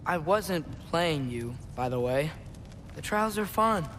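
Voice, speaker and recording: A man's voice: on the high side, animated, slow, fairly bright tone, slight background noise. A young actor playing an earnest, plucky, slightly awkward teenage boy in a video game. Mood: tentative. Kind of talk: video game cinematic acting.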